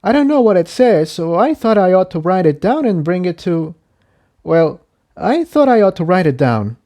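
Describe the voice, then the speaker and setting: A man's voice: sits high, big pitch swings, moderate pace, warm tone, voice pushed up. A man voicing a curt, businesslike female pony crewmate in a fantasy story, a little hesitant. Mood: puzzled.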